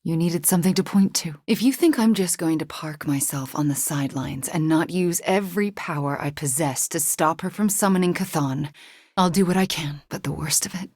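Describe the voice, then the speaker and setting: An actress playing a young sorceress heroine in a video game, earnest and guarded, with a soft, breathy, sincere and often hesitant delivery. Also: female voice, deep voice, no room sound, studio quality. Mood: resolute, then hushed, pained.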